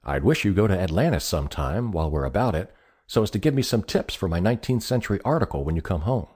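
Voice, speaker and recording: A man voicing a stout, prosperous, hearty visitor in an audiobook, talking loudly, briskly and bossily. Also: low-pitched, lively intonation, breathy edge, pitched above the performer's own voice. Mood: brusque advice. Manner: loud, brisk, emphatic.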